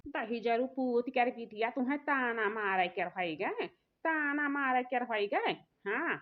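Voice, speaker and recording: A woman voicing an aggrieved, vain old village mother-in-law in a comic cartoon, complaining with an Awadhi accent. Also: high-pitched. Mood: bitter. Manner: complaining, lamenting. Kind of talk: rustic comic cartoon dialogue. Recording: muffled, phone-like narrow sound.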